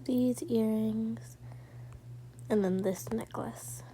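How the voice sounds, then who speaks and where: Female video creator, relaxed dark-toned delivery, very unhurried, casual narration of a role-play. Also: fairly high pitch, a little hiss or hum. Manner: informal, talking through each small step.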